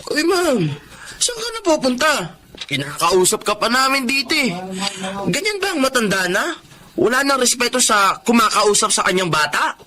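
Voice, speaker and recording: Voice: mid-range voice, sing-song, moderate pace, a crowd in the background, lightly acted. An actor playing a cocky young student bully with a taunting, sneering delivery in a drama. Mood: aggressive.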